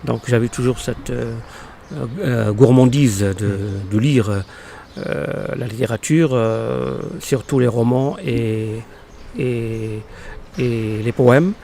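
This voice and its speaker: A man in his fifties from Morocco, whose first language is Arabic, speaking slowly in an interview with many pauses and fillers. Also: mid-range voice, creaky, mellow, a little hiss or hum. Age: 50s. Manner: halting, many fillers and restarts. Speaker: interviewed poet. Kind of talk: hesitant conversational interview. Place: local podcast studio.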